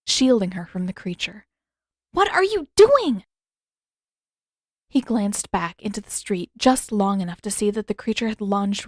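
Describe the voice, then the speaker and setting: A woman narrates a fantasy story in scripted audiobook style. Her pitch is average for a woman. The recording is dry, with almost no room sound. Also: dry recording. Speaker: fan fiction audiobook narrator.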